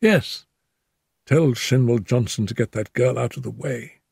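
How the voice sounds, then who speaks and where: A man voicing an injured detective who mutters in a weak, hoarse whisper, wry and scheming, in an audiobook. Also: medium pitch, measured pace, darkish tone, no room sound, studio quality, pitched above the performer's own voice, heavily acted. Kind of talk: audiobook character voice.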